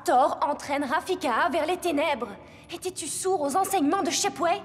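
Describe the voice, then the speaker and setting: A high voice rings with long reverb. It is a loyal animated fantasy heroine, solemn and stately, who speaks with grave conviction. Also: female voice, moderate pace. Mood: stern, urgent. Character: solemn, loyal female ally urging a chosen heir. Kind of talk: dubbed animated fantasy acting.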